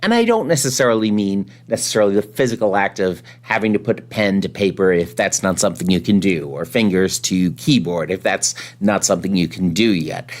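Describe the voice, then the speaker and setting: A man's voice: low-pitched, expressive, a little fast, few pauses. A man hosting a podcast in a conversational interviewing and commentary style. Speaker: podcast host.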